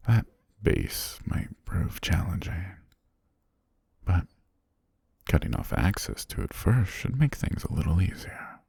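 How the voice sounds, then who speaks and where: A man playing a calm, possessive governor soothing his pet in an ASMR roleplay, breathy and half-whispered, slow, gentle and softly commanding, very close to the mic. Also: low voice, no room sound, full character voice. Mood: soothing, coaxing. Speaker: ASMR voice actor. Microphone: very close, dry microphone.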